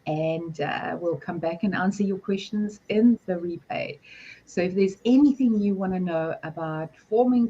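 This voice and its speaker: A woman hosting a business livestream with a croaky voice, friendly and chatty as she asks questions and laughs often. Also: fairly low pitch, warm tone, highs cut off. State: hoarse, croaky voice. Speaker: host.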